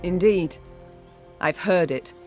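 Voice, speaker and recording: A woman's voice: lowish voice, slow, a little mellow. A woman playing a proud, commanding queen in a fantasy game, speaking in a formal, pointed way. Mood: curious, composed. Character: proud, commanding warrior queen questioning a mercenary. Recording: background music under the dialogue.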